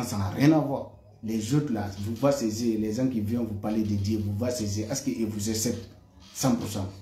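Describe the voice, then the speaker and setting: A man's voice: mid-range voice, dark tone. Heated man ranting in an echoey room. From West Africa. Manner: heated, repetitive, stammers on repeated words. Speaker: online commentator. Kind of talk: impassioned monologue to viewers.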